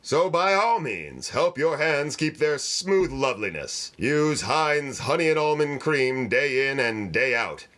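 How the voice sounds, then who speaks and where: A man's voice: mid-range voice, big pitch swings, measured pace, few pauses, a bit bright. A man playing a persuasive, polished 1940s radio announcer with emphatic delivery. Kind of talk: 1940s radio advertising pitch and banter.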